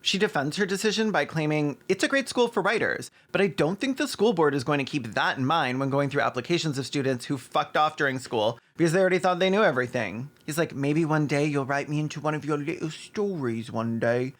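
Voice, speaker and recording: A man's voice: a little high, a little fast, few pauses, fairly bright tone. Male video essay host, scripted sarcastic commentary, snarky asides, mocking delivery, some swearing.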